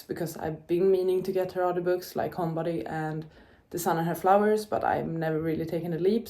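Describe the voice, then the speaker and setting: A woman's voice: fairly low pitch, warm tone. A young woman with Czech as her native language, chatting upbeat to the camera about books, with fillers and self-corrections. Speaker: book vlogger. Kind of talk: casual vlog chat to camera.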